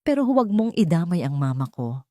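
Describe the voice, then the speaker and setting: A woman's voice: on the low side, sing-song, a little fast, dark tone, dry recording, studio quality. A woman narrator voicing a remorseful army commander who pleads and blames himself, in an audio story. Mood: remorseful, begging. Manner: pleading, self-reproaching.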